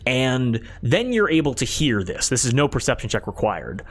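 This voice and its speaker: A man's voice: medium pitch, very animated, moderate pace. A man improvising narration as game master of a tabletop game, often repeating and rephrasing as he goes. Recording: background music under the voice. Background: music.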